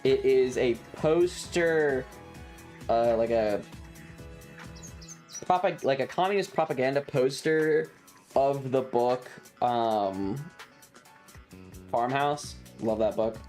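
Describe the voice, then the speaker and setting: A livestreamer chatting casually while gaming, rambling and halting, with a wry, sarcastic manner. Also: medium pitch, a little mellow. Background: music.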